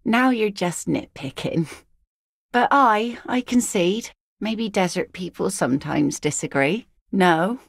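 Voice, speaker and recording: Easygoing fishing-village mother, video game dialogue, slow measured delivery, slightly gravelly, wry and philosophical. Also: mid-range voice, expressive, no echo, clean studio sound, full character voice. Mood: gently teasing. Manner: wry, unhurried, gently teasing, folksy.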